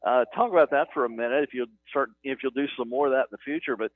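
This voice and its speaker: A man's voice: medium pitch, quick pace. A male radio sports broadcaster in a stadium booth, doing a chatty, folksy halftime interview and joking with his guest. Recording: narrow phone-like radio broadcast.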